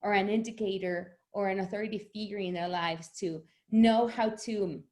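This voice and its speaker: Lively Argentine-born woman author. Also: mid-range voice, normal speed. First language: Spanish. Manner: animated, laughs often, restarts words. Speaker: author guest. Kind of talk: conversational interview.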